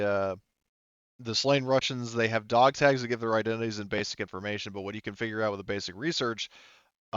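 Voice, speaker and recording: A man's voice: on the low side, a bit bright, clean tone, no room sound, lo-fi sound. A man running a tabletop game as game master, improvising the narration casually in a rambling, hesitant way, thinking aloud.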